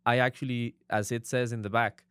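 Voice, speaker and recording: A young man with a Costa Rican Spanish accent, speaking English as a second language. His voice is smooth and clear, recorded close and dry, and he talks earnestly while dropping in small agreeing murmurs. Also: medium pitch, level tone, brisk, fairly bright tone, dry recording. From Costa Rica. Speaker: guest author. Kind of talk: casual interview.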